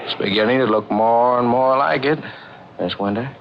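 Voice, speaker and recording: A man's voice: medium pitch, normal speed, over loud music, natural voice. An actor playing a secretive, brooding man, a reckless lover and accomplice in an old-time radio drama, with a clipped, guarded delivery. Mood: suspicious. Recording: dull, noisy old radio recording.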